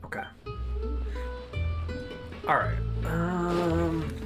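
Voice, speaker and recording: A woman's voice: on the low side, fairly even intonation, very slow. A woman streaming a video game, giving casual, muttered commentary and reactions. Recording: loud game music behind. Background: loud game music.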